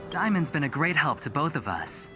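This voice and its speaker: An actor playing a smug, smooth-talking young executive with a gambler's temper in a video game, teasing and theatrical. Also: male voice, fairly high pitch, big pitch swings, normal speed, pushed and bright, soft background music. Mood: self-satisfied. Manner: teasing, theatrical, self-satisfied.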